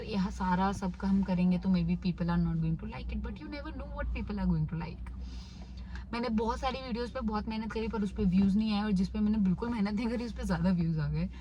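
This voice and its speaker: A woman's voice: mid-range voice, darkish tone, muffled recording, slight background noise. A young woman in her twenties with an Indian accent, mixing Hindi and English as she casually answers viewer questions in a vlog. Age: 20s. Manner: switches between Hindi and English. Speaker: YouTuber.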